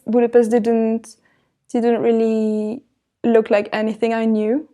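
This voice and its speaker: A woman's voice: on the high side, monotone, slow pace, warm tone, dull audio. A young woman living away from her parents for the first time, answering a documentary interview earnestly. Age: young adult. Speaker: exchange student.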